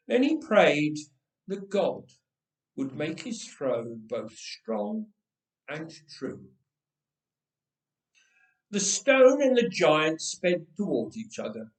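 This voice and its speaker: A man reading a story aloud in an expressive, theatrical and deliberate way. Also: on the high side. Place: echoey church interior. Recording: echoey room, distant from mic.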